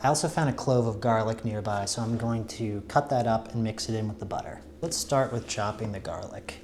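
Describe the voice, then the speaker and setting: A man's voice: fairly low pitch, a little fast. A man talking casually and unhurriedly, as if narrating his own camping and cooking vlog. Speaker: found-footage vlogger, narrator. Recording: roomy echo, faint background noise.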